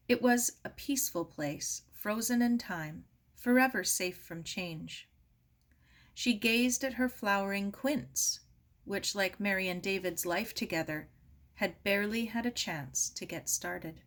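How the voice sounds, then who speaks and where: A woman's voice: lowish voice, a bit bright. Woman reading a story aloud. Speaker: audiobook reader. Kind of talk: expressive scripted story narration.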